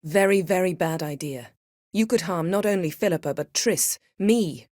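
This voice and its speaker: An actress playing a proud, sharp-tongued, guarded sorceress in a video game, speaking in a cool, clipped, dryly sardonic way. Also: female voice, fairly low pitch, normal speed, dry recording, studio quality, lightly acted. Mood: stern, guarded. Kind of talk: scripted game dialogue acting.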